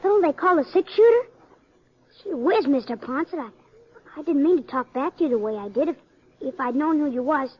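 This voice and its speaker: Casting: woman as high-pitched American farm boy of eleven, earnest and wary, muffled old radio western. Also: expressive, fairly quick. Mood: awed, eager. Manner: plain-spoken, earnest country talk. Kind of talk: 1950s western radio drama acting.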